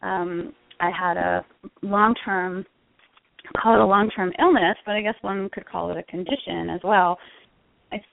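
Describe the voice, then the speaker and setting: A woman's voice: mid-range voice, a little slow, creaky, dry recording. A middle-aged American woman from Connecticut speaking conversationally as an interview guest and health coach. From Connecticut, United States. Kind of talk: conversational interview answers, telling own story. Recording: tinny narrow-band phone line.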